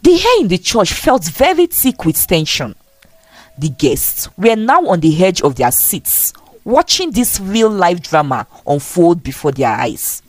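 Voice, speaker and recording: A woman's voice: lowish voice, very animated, unhurried, no echo. A woman telling a scripted folktale as a dramatic storyteller.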